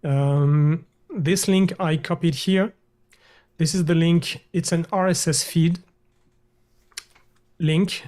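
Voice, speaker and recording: A man, a guest developer, walking through a screen-share software demo over a video call, hesitant with many fillers and restarts. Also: fairly high pitch, steady tone, slow, pauses often.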